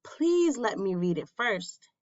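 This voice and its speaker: A woman voicing an eager, pleading little boy mouse in a squeaky, excitable way for a children's storybook. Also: slow pace, no room sound, lo-fi sound.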